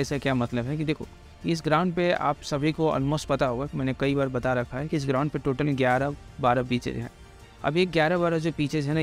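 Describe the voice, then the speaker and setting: An Indian man presenting informal sports analysis, explaining things repetitively and addressing listeners directly. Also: a little high. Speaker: cricket pitch report presenter.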